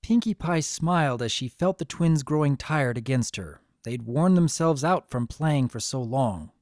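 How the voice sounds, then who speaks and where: Male narrator, dramatic story reading, gentle, animated intonation, dry recording. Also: mid-range voice, unhurried, no room sound. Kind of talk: dramatic scripted fan fiction narration.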